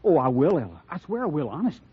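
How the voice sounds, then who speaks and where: Bashful young cowboy suitor: very raspy, wildly swooping pitch, stammering folksy drawl, vintage radio western. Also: male voice, very animated, fast talker, heavily acted. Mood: earnest, flustered. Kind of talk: old-time radio drama acting. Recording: thin narrowband vintage radio recording.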